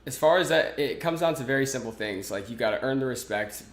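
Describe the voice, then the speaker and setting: A man's voice: medium pitch, quick pace, echoey room. A man in his twenties, a podcast guest talking casually, with lots of fillers and restarts. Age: 20s. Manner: relaxed, many fillers and restarts. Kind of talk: casual interview talk.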